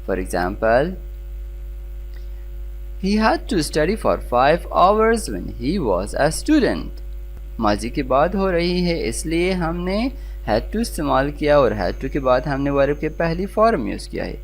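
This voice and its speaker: Pakistani-accented grammar instructor, mid-pitched, very varied intonation, deliberate enunciation, faint humming noise on the recording. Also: big pitch swings. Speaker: English grammar teacher. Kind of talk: scripted lesson, explaining and reading example sentences.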